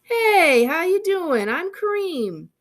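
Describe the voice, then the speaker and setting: A woman voicing an easygoing teenage boy in an audiobook, with a loud, casual manner. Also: extremely high pitch, very animated, takes it slowly, voice pushed up, exaggerated voice. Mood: breezy. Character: easygoing, out-of-breath teenage boy arriving late. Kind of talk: audiobook character voice.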